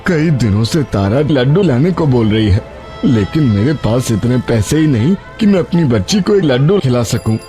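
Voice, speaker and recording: An actor playing a poor, honest labourer and tender, sorrowful father in an animated moral story, speaking gently and earnestly. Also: medium pitch, normal speed, soft background music, lightly acted. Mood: sorrowful, despairing.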